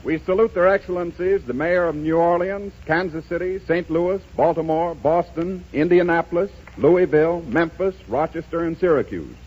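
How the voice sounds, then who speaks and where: Old radio announcer man, husky, higher pitched, formal, dull lo-fi sound. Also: normal speed, hardly any pauses. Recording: dull, band-limited 1930s radio broadcast.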